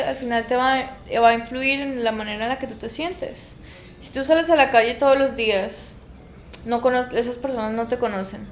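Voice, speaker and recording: A woman's voice: sits high, slight background noise. A young woman, co-hosting a podcast in casual chat and making her point emphatically with repeated phrases. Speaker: podcast co-host. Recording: echoey room with faint ambience.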